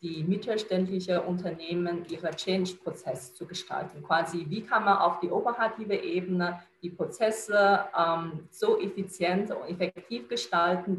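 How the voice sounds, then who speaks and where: A woman's voice: lowish voice, slight background noise. Chinese-accented woman, hesitant, echoey room. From China. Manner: hesitant, many fillers, non-native grammar. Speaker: business consultant. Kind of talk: conversational interview answer.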